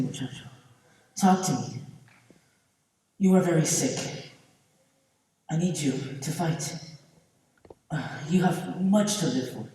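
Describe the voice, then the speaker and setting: A distant, echoing stage recording of a man acting as a stubborn but kindly old man. His voice is a little high and mellow, and he speaks earnestly and deliberately as in live theatre. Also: lightly acted. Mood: urgent. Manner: earnest, deliberate, Spanish words mixed in. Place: theatre stage, far camera. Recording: echoey theatre hall from far off.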